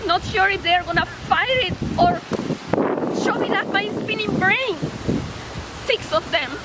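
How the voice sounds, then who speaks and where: Traumatised, frightened woman played by an actress, extremely high and slow, under loud rushing noise. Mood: anguished. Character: terrified, traumatised woman recalling wartime assault. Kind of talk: dramatic stage monologue. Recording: very loud wind-like noise, distant.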